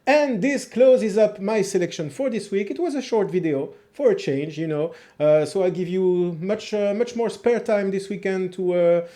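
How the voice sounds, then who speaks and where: A man's voice: high voice, normal speed, few pauses, mellow. A man with a French accent, a native French speaker, hosting a casual review video and talking to viewers in an informal, enthusiastic way. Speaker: host of a music review video channel.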